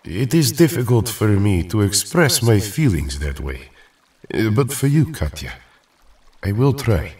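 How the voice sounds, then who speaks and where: A man playing a shy, gentle young strongman hero in an audio drama, speaking haltingly and formally. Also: fairly low pitch, sing-song, distant mic. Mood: shy, tender.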